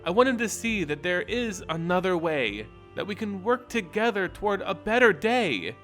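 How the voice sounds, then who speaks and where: Storybook werewolf heroine played by a man: idealistic, conflicted, heartfelt, slightly high voice with music underneath. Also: sing-song, normal speed, a bit bright. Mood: troubled, earnest. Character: earnest, troubled werewolf woman, idealistic and conflicted. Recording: music behind the voice.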